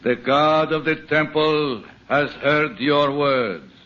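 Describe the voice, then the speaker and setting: An actor playing a stern, menacing jungle cult priest, proclaiming ceremonially in a vintage radio serial. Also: male voice, a little high, crowd noise. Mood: solemn doom. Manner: slow, solemn, ceremonial proclaiming. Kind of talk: old-time radio serial acting. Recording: muffled vintage radio recording.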